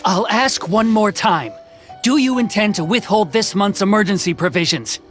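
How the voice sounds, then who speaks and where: A woman's voice: medium pitch, normal speed, faint music behind, close to an everyday voice. An actress playing a stern, suspicious village woman who makes indignant demands in a video game. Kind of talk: video game cutscene dialogue.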